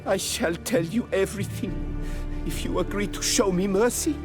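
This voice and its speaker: An actor playing an anxious, deferential nobleman winemaker in a video game, proud yet servile, with a formal and halting delivery. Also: high-pitched, music in the background, lightly acted. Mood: confessing.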